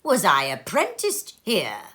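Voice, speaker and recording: A woman's voice: medium pitch, wildly swooping pitch, slow pace, a bit bright, heavily acted. A woman voicing an old miser man moved by his memories, exclaiming and repeating his words. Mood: surprised. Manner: exclamatory, stammering repetitions.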